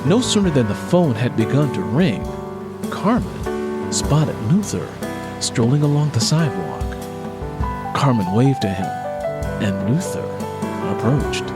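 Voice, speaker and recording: A man's voice: medium pitch, big pitch swings, slow, long pauses, loud music behind the voice. A man reading scripted fiction as an audiobook narrator.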